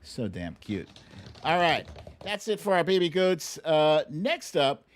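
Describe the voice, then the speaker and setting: A man's voice: fairly high pitch, sing-song, a little slow, a little hiss or hum. A man hosting a casual livestream, chatting through news and viewer comments in a wry, teasing, colloquial way. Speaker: livestream show host.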